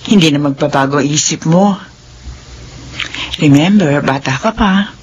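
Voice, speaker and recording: A woman's voice: low-pitched, unhurried, husky, dull audio, heavily acted. An actress playing an older woman, a tender and doubtful lover, in an earnest, sentimental radio drama style. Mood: worried, hesitant. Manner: earnest, emotional, Tagalog mixed with English.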